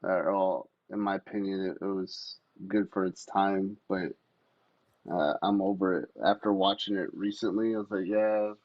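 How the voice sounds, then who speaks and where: A man's voice: low voice, fairly even intonation, normal speed, no room sound, muffled recording. A man on a podcast panel, chatting casually and bluntly. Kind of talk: casual chat.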